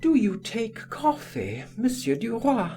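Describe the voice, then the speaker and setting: A man's voice: high-pitched, huge pitch swings, takes it slowly, dark tone, soft background music, voice pushed up, full character voice. A man voicing a poised, gracious society lady in an audiobook, with a light and polite manner.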